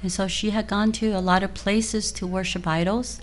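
A woman's voice: mid-range voice, moderate pace. Woman interpreting a church testimony. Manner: plain, steady rendering with fillers.

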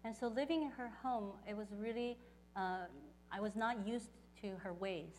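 A woman working as a church interpreter, relaying a testimony phrase by phrase in a halting delivery. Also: medium pitch, a little mellow, slight background noise. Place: church service.

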